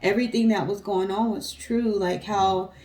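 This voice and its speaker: A woman's voice: medium pitch, fairly even intonation, normal speed, darkish tone. A woman with an American accent co-hosting a storytelling show, talking casually to viewers and recounting stories. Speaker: co-host of a storytelling guessing show.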